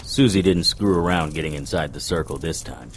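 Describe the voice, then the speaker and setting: A cool, wry male occult detective tells his tale in noir audio drama style. The voice sits low and dry, with faint noise behind it. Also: brisk. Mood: wry, tense.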